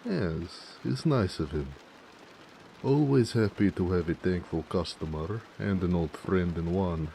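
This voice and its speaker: Man acting orc blacksmith, mellow dark timbre, unhurried, bashful, affectionate, intimate romance roleplay, faint rainy background noise. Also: low-pitched, heavily acted, poor audio. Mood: warm, chatty. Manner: soft-hearted, hesitant, with pauses and hedging. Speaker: voice actor in romance audio roleplay.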